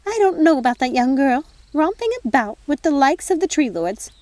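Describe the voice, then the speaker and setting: A woman's voice: high-pitched, big pitch swings, moderate pace, a little mellow, dry recording, voice pushed up. A woman voicing a fussy, bossy fairy godmother in an audiobook, squealing and chiding as she talks. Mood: fussy, disapproving. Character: fussy, prim, bossy fairy godmother, easily vexed sister. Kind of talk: audiobook character voice.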